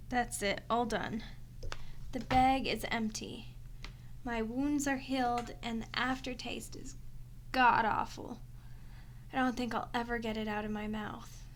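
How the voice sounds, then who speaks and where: A woman's voice: fairly high pitch, a little hiss or hum. A woman playing a sardonic, foul-mouthed vampire who grumbles and mocks in an ASMR audio roleplay. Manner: sarcastic, grumbling, mocking.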